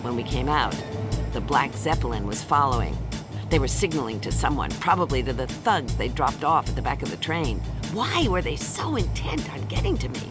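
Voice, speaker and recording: A woman playing a tough, wry sci-fi detective, narrating a radio drama in a matter-of-fact, deadpan way. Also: very deep voice, normal speed, over loud music. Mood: tense, wry.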